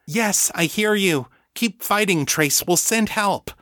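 Give this audiobook heroine , acted out by a man, a raised, bright voice. She is a distraught military telepath who speaks with urgency and pleads insistently. The sound is close and dry. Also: high voice, slow pace, no room sound, voice pushed up, full character voice. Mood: urgent. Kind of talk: audiobook character acting.

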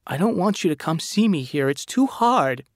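A gravely ill young woman who pleads through her tears, her voice lifted high and tight with strain, acted by a man reading a storybook. The tone is gravelly underneath and the recording is dry and close. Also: a little fast, a little mellow, no echo. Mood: pleading.

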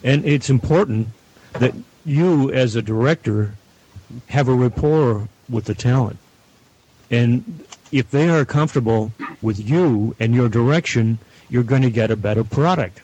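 A man speaking as a guest producer, explaining his work in measured, deliberate phrases. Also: medium pitch, dark tone, husky, lo-fi sound. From Canada. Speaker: audio producer and director, guest. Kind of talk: explanatory interview talk.

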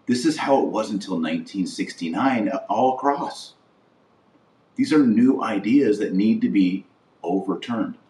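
An American man hosting a podcast, delivering a political monologue in short, deliberate, emphatic phrases. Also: low voice, a little mellow. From United States. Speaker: podcast host. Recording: roomy echo, distant microphone.

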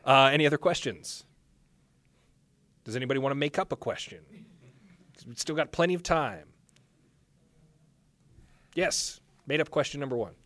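A man hosting a live interview and fielding audience questions, with a joking, deadpan sarcastic manner. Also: medium pitch, normal speed, pauses often. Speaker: show host, moderator.

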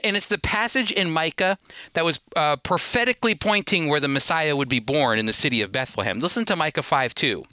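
Very bright, forceful male Bible teacher. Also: on the high side, no room sound. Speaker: Bible teacher and show host. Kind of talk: teaching sermon with scripture readings.

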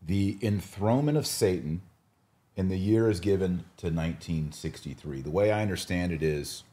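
A man's voice: deep voice, moderate pace, warm tone. A man hosting a podcast in a casual, conversational way, with informal, slangy phrasing. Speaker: podcast host.

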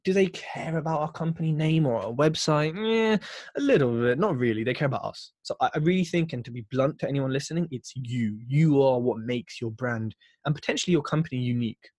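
A man's voice: medium pitch, brisk, a little mellow, no room sound. A British man talking casually as a podcast guest, in a chatty, emphatic way. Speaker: podcast host interviewed as guest. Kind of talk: casual conversational interview.

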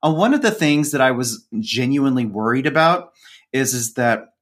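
A man's voice: medium pitch, expressive, normal speed, a bit bright. Chatty man telling casual stories. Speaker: podcast co-host as guest. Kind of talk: casual conversational interview.

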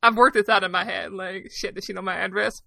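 A woman's voice: mid-range voice, fairly even intonation, fast, vocal fry, bright, forceful tone. A woman in her thirties chatting casually as a podcast co-host, telling personal stories in a candid, informal, self-deprecating way. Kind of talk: casual personal storytelling and chat.

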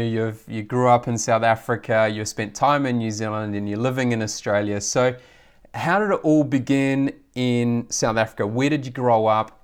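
A man's voice: mid-range voice, smooth. Chatty male interviewer. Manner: friendly and conversational, long wandering questions. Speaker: podcast host. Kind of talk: interviewing a guest.

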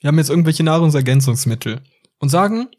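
A man's voice: fairly high pitch, expressive, fast talker, a little mellow. A man co-hosting a casual podcast, explaining things in a loose, slangy, rambling way. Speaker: podcast co-host.

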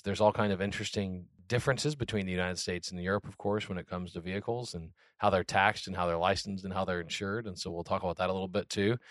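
A man with a lowish voice hosts an interview podcast, easygoing and chatty, often pausing on "uh". The sound is close and dry, with no room behind him. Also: no echo. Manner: relaxed, chatty, frequent filler words. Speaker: podcast host. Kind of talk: casual interview chat.

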